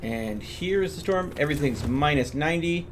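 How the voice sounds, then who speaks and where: A man's voice: on the high side, lively intonation, normal speed, slight background noise. A man streaming a video game, explaining what happens on screen as he plays. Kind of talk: live gameplay commentary, explanatory.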